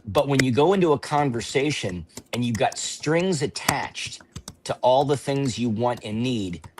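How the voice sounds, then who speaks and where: A man on a panel explaining his view in a measured way, using vivid images. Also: mid-range voice, animated, background noise. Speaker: panel guest. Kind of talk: casual panel chat.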